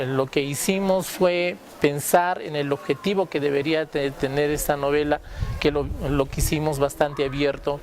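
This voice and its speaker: A man with a fairly high voice explains his work in an interview. He feels his way haltingly through phrases, with slight noise in the background. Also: darkish tone. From Peru. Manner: halting, searching for words. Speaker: comic strip creator.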